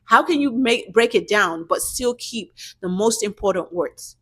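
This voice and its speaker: A woman hosting her own channel talks straight to the camera in a casual tutorial. Her voice sits at an average pitch, and her tone is direct and coaching as she urges viewers on. English is her second language. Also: measured pace, slightly rough. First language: not English. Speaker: YouTube channel host giving business grant tips.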